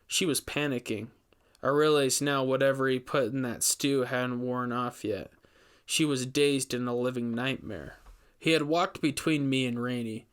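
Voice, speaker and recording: Male storyteller reading a horror tale. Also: mid-range voice. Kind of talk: scripted first-person horror narration.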